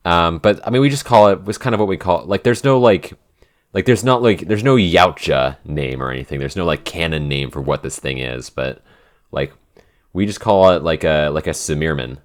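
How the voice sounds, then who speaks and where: A man's voice: deep voice, expressive, normal speed. A man co-hosting a podcast Q&A in an informal, conversational way, circling back over his phrases with plenty of filler likes. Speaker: podcast writer and co-host reading listener questions. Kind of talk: casual Q&A chat.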